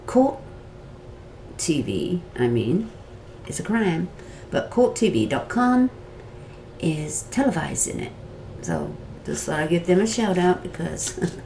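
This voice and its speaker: A woman's voice: fairly low pitch, warm tone, a little hiss or hum. A woman with a Wisconsin accent, talking her viewers through a day in court in a meandering, chatty way that drifts off topic and back. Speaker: vlogger recapping a court trial. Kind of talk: rambling casual commentary.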